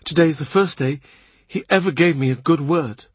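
A man's voice: fairly high pitch, moderate pace, slightly rough, dry recording, voice pushed up. A man voicing a young court lute player who chatters gossip. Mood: gossipy.

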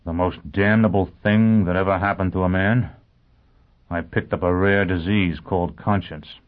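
A man's voice: sits low, steady tone, normal speed, lightly acted. A man voicing a grim, bitter criminal tormented by conscience in an audiobook, speaking with grave intensity. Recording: narrow phone-like sound.

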